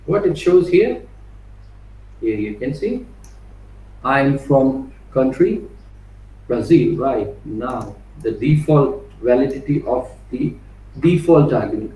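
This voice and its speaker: A man teaching an online coding workshop, talking his way through live code with frequent "right?" and "you know" fillers, restarting phrases as he types and reading code strings out slowly. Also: mid-range voice, expressive, dark tone, recorded from a distance. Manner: restarts and repeats words while typing. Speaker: workshop instructor. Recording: roomy, off-mic with faint background noise.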